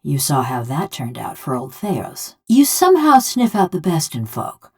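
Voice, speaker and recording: Low-pitched, vengeful bronze construct woman. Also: huge pitch swings, measured pace, a little mellow, husky, full character voice. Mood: wry, sardonic. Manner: folksy rustic drawl, sardonic. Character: vengeful, wry bronze construct housing a murdered village woman's soul. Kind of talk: video game character dialogue.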